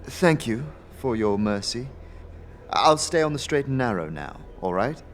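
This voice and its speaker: A man's voice: mid-range voice, animated, measured pace, slight background noise, lightly acted. A man playing a nervous, melancholy young worker in an audio drama, hesitant and stammering, with background music. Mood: meek, grateful. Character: nervous, subdued, melancholy young male worker, simple and grateful.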